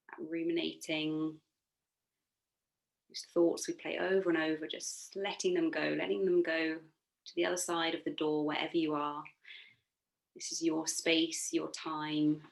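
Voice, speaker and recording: A woman yoga instructor leading a guided relaxation meditation in a soothing, gently encouraging, unforced manner. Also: lowish voice, creaky, low-quality recording.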